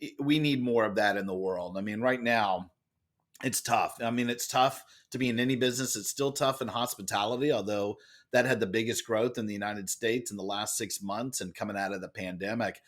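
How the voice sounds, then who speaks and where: Loud, animated middle-aged male storyteller. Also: a little fast. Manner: expressive, acts out quoted lines with big jumps in pitch. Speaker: guest, author and speaker.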